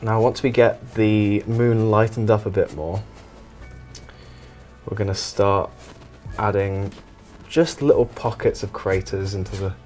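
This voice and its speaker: A man hosting a painting show in a deadpan, mock documentary style, talking in a soft, relaxing low voice while rambling evasively and defensively. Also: measured pace, long pauses, soft background music.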